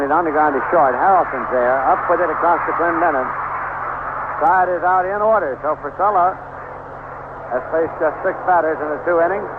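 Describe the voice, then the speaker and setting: An American man calling a baseball game live on the radio, in measured, clipped play calls with pauses. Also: a little high, narrow, tinny audio. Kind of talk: live radio sports commentary. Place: stadium broadcast booth. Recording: noisy band-limited 1970s AM radio broadcast.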